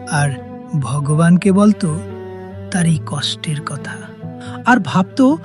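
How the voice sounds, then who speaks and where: A woman voicing a wise, serene holy sage in a cartoon moral tale, teaching in a solemn, measured manner. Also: lowish voice, mellow. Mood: storytelling. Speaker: storyteller and teacher. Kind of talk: scripted cartoon moral storytelling.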